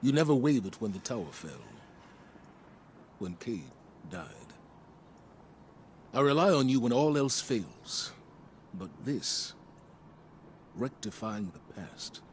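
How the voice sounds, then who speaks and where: A man's voice: medium pitch, very animated, takes it slowly, long pauses, a little hiss or hum. A man voicing a grave, dignified commander in a video game, stern but appreciative, formal and weighty. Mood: grave gratitude. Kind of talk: video game dramatic dialogue.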